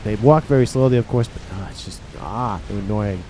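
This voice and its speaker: Male game caster, nasal from a cold, mellow laid-back play-by-play. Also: on the low side, fairly quick, slight background noise. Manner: relaxed, rambling, talks to viewers. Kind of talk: casual play-by-play commentary.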